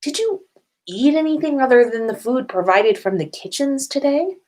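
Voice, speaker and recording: A woman voicing a brisk, puzzled school nurse witch in a story reading. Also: sits high, animated, darkish tone, pitched above the performer's own voice.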